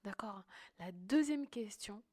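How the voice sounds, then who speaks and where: A woman's voice: medium pitch, expressive, slow pace, background noise. A woman hosting a motivational coaching podcast, speaking emphatically and often checking in with the listener. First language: French-English bilingual.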